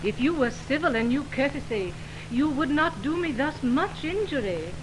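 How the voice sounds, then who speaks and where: A woman's voice: high voice, lively intonation, normal speed. A woman playing a hurt, indignant young woman who feels mocked, declaiming verse in 1920s radio Shakespeare. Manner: theatrical, declamatory verse delivery. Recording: noisy 1929 radio aircheck, humming.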